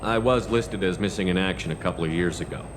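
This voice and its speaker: A man's voice: low voice, fast, background noise, natural voice. A man playing a stoic, determined space commander in a video game, serious and direct. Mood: calm, dry.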